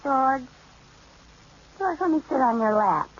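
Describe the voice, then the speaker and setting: An actress in a 1940s radio comedy playing a scatterbrained, wisecracking young wife who gets flustered easily. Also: female voice, high voice, expressive, slow pace. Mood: coaxing. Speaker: housewife. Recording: muffled old radio broadcast, heavy hiss.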